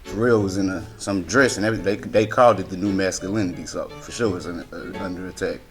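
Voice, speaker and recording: A man's voice: low-pitched, steady tone, quick pace, fairly bright tone, soft background music. A man in his thirties from Arkansas, rambling casually in an interview, often restarting his sentences. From Hot Springs, Arkansas. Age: 30s.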